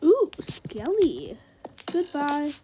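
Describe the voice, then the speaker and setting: A woman's voice: high voice, extremely slow, noisy background. A young woman with a sick, nasal voice chatting casually to viewers over her gameplay. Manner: chatty, easily excited, talks to viewers. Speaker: Minecraft let's player.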